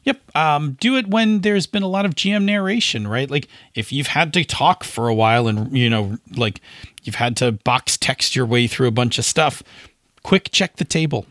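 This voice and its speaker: A man with a medium-pitched voice talks as a podcast co-host. He gives advice in a casual, conversational way, tagging points with little check-in questions, in a very dry recording. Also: moderate pace, a bit bright, no echo. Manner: informal, often checks in with "right?".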